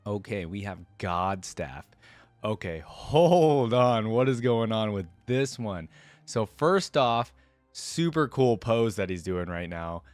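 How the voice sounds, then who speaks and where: Male reaction host: low-pitched, informal and enthusiastic, loose unscripted commentary, very dry sound. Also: expressive, slow, darkish tone, dry recording, faint music behind. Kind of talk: casual off-the-cuff reaction commentary.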